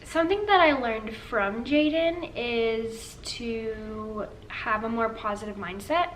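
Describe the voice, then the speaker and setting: A woman's voice: a little high, slow, a bit bright. Chatty young woman, a bit off-mic. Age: young adult. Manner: chatty, earnest, says "like" often. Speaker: co-host. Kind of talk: casual couple Q&A chat. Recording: slightly distant with faint room noise.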